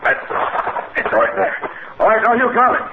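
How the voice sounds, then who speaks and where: Panicky doctor hero for 1950s radio serial: urgent, exclaiming, on a very noisy, tinny recording. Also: male voice, very high-pitched, steady tone, normal speed, roomy sound. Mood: frantic urgency. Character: frantic, urgent male doctor leading an escape. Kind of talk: 1950s radio drama acting. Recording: tinny old radio recording, loud noise.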